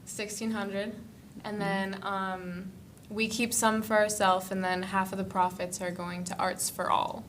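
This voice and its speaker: Halting teenage girl in a noisy room. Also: flat delivery, fairly bright tone. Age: teens. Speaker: student club member presenting. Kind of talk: informal group presentation. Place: indoor school board meeting room. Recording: noisy meeting room with rumble.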